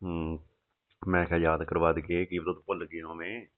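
A man speaks in a deep voice at a brisk clip. He chats casually and intimately over a lo-fi phone line. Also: level tone. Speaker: soldier on leave, phone caller. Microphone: phone call. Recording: muffled lo-fi phone call recording.

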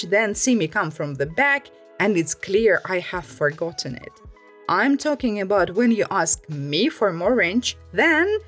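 Woman narrating stories with very lively pitch. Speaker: host reading Reddit stories. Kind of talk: scripted storytime narration.

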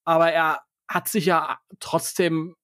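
A man's voice: fairly high pitch, little pitch movement, slow pace, fairly bright tone, no room sound. Wry man chatting on a podcast, dry recording. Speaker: podcast host. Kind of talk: casual opinionated chat.

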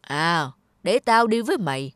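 A woman voicing a greedy, stingy old male landlord in a cartoon, gruff and bossy. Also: fairly low pitch, very animated, a little slow, no echo. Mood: scolding. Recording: studio voice with loud music.

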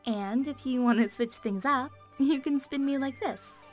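An actress playing a kind young woman, gentle and reassuring, in an audio drama. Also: high voice, a little slow, faint music behind. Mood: playful, encouraging. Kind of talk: amateur audio drama acting.

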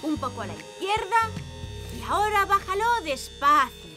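A woman's voice: high-pitched, sing-song, moderate pace. A woman playing a capable, cheerful young builder in a Castilian Spanish cartoon dub. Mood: focused, upbeat. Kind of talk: animated children's cartoon dub. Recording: music behind the voice.

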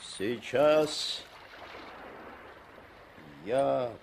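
An actor playing a quiet, resolute, self-sacrificing sailor in a 1960s radio drama. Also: male voice, mid-range voice, drawn-out words, creaky, slight background noise. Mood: quiet resolve.

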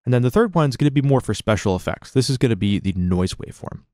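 A man narrating a software tutorial as an instructor, in a casual, conversational, explanatory manner, close to the mic. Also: mid-range voice, animated, fast, no echo. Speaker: music production tutorial instructor.

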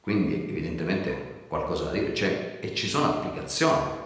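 A man's voice: low voice, big pitch swings, normal speed, a bit bright. A middle-aged man from Italy, a chef, talking casually to camera in a kitchen with wry, chatty rhetorical asides. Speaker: chef and restaurant consultant. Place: indoor kitchen. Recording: very echoey room with long reverb.

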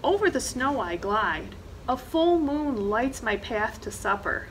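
A woman's voice: on the high side, very animated, measured pace, a little hiss or hum, low-quality recording. A middle-aged woman reading a children's picture book aloud expressively, with dramatic emphasis on action words. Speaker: librarian reading storytime. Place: indoor library reading corner, seated.

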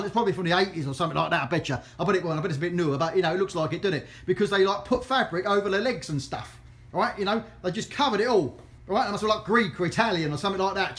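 A man's voice: high voice, fairly bright tone. A man with a Cockney accent giving a jokey, rambling walk-round of items before an auction, filmed on a handheld phone in a large indoor warehouse. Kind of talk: casual walk-through commentary. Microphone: handheld phone camera, speaker off-screen. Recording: echoey warehouse room.

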